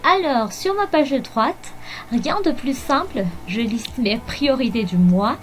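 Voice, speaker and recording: Slow, hesitant woman over faint music. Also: high voice, expressive. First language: Malagasy. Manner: slow and hesitant, many fillers, soft laughs. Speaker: bullet journal vlogger. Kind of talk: voice-over narration of a plan with me.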